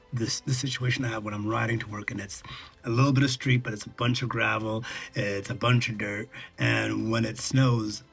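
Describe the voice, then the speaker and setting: A man vlogging in a casual, conversational way, recounting lessons learned and often checking in with the listener, with a husky voice. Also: on the low side, fairly bright tone. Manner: conversational, checks in with "okay" and "all right". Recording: music behind the voice.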